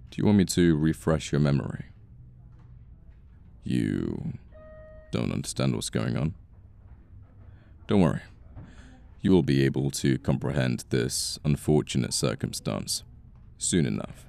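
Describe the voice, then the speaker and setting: An extremely low, creaky British male voice acted by a man for a cold young boss in an ASMR roleplay. He speaks in formal, sardonic phrases with a haughty, bitter edge. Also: animated, measured pace, pauses often, dark tone, heavily acted. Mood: sardonic, condescending. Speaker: voice actor in an audio roleplay. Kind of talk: intimate ASMR roleplay acting.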